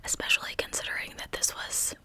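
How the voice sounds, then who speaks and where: A woman hosting an ASMR channel, narrating in a breathy, intimate whisper with casual asides. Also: fast talker, bright, forceful tone. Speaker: host of a true crime ASMR channel.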